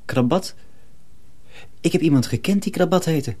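Husky, eager young apprentice boy. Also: a little high, animated, normal speed, mellow. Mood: wary, eager. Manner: eager, childlike. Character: small, naive, eager fourteen-year-old apprentice boy. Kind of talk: radio drama acting.

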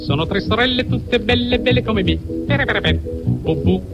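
An actor playing a vain, playful singsong reciter of a nonsense cabaret rhyme. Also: male voice, very high voice, slow, muffled recording, heavily acted. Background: loud music.

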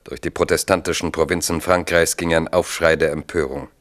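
Low deep guy narrating old radio drama, calm measured storytelling.

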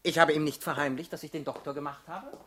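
An actor playing an earnest, proud and defensive young revolutionary husband in a radio drama, easily flustered. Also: male voice, fairly high pitch, fairly even intonation, quick pace, sharp tone, breathy edge.

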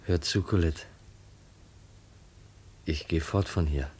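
A brooding, resolute young heir in a fifties German radio drama. His voice is deep and slightly hoarse-edged, his lines gloomy and earnest. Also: slow pace, a little mellow, exaggerated voice. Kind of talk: 1950s German radio play acting.

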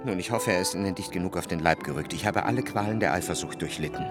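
An actor playing an introspective, jealous lover who narrates his memories in a measured, literary radio drama style. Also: deep voice, over loud music, lightly acted. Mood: reflective, brooding. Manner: reflective, measured literary delivery in long sentences.

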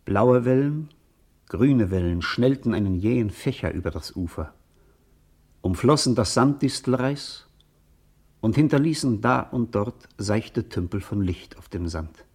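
An actor playing a solemn poetic narrator in a radio drama, with vivid, measured, declamatory phrasing. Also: male voice, fairly low pitch, animated, a little mellow, breathy edge, heavily acted. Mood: solemn, lyrical.

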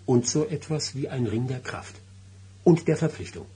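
An actor playing a secretive, brooding, superstitious middle-aged husband in a German radio drama, grave and insistent in manner. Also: male voice, mid-range voice, animated, normal speed, dark tone, slightly rough. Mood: solemn.

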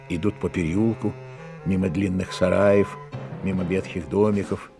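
A man narrating a Soviet radio drama as a sober, measured, reflective storyteller of a detective tale. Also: low voice, mellow.

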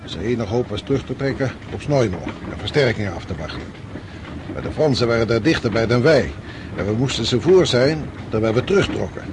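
Measured army general over clear background noise man acting. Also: mid-range voice, lively intonation, close to an everyday voice. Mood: grave, explaining. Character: weary commanding general of a retreating Russian army. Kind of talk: radio drama acting.

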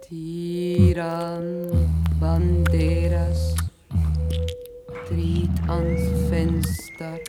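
Solemn, extremely slow chanting narrator. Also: female voice, fairly low pitch, completely flat delivery. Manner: very slow, drawn-out, incantatory declamation. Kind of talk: German radio play narration. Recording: loud music around the voice.

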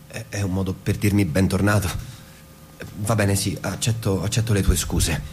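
A man acting an introspective suburban husband in a radio play, with a lowish voice. His delivery is reflective and measured, like literary inner monologue, over a faint rumbling noise. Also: fairly even intonation, a little mellow, close to an everyday voice. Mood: guarded. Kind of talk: theatrical radio drama, literary monologue and dialogue.

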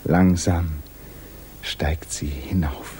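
An actor narrating a 1950s literary radio drama as a grave, intimate storyteller with measured, dramatic delivery. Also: male voice, low voice, fairly even intonation, darkish tone, a little hiss or hum. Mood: hushed, intense. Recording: 1950s radio recording, music behind.

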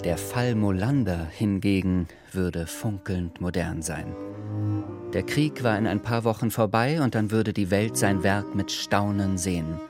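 Low-voiced male narrator over music. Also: measured pace. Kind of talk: scripted literary radio drama narration.

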